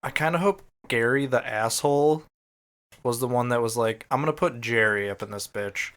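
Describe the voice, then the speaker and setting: A man's voice: mid-range voice, normal speed, a bit bright. A man co-hosting a podcast, chatting casually and joking with his friends. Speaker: podcast co-host.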